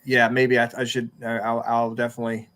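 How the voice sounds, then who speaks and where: A man's voice: medium pitch, monotone, fairly quick, a bit bright. Casual male podcast host. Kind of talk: casual chat, closing a show.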